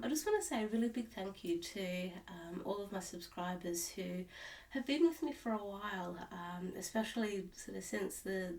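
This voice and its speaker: A woman's voice: medium pitch, a little mellow, distant mic, poor audio. Stuffy-nosed Australian woman, rambling. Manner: rambling, with many restarts and fillers. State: nasal and stuffed up from a cold-like illness. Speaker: cross-stitch vlogger. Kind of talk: casual chat to camera. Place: at home, indoors.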